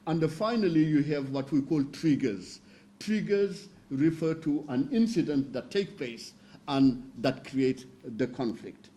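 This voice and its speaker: A man from northern Senegal speaking as a panelist at a roundtable, explaining slowly and carefully with fillers and restarts. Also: on the high side, animated, warm tone. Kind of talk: roundtable talk.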